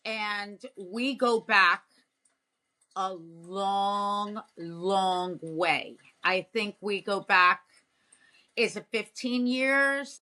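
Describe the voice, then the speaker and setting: A woman's voice: medium pitch, extremely slow, pushed and bright. A woman co-hosting over a video call who talks in an effusive, storytelling way about grooming. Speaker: co-host, dog groomer. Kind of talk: casual chat.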